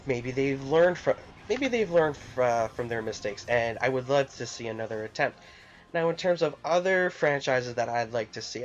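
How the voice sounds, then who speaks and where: A man with a mid-pitched voice talks casually and without a script, as a fan airing his views. He rambles with enthusiasm and slips in sarcastic asides. The recording is somewhat muffled and lo-fi, with music playing behind him. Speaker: video game fan giving his opinions. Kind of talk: casual, unscripted opinion commentary. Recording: muffled lo-fi mic with background music.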